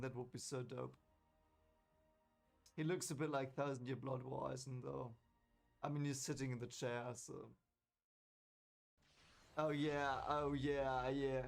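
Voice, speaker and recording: A man giving casual, reactive gameplay commentary, excitable, repeating words and talking trash at the game. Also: medium pitch, a little slow, gravelly, pauses often. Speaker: gaming video creator.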